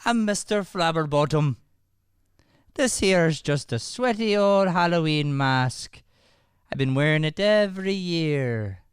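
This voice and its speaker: A man's voice: on the high side, animated, takes it slowly, clear, resonant tone, dry recording, studio quality, voice pushed up. A man playing a blustery, eccentric Irish father with emphatic, theatrical delivery in improvised radio comedy. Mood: boastful.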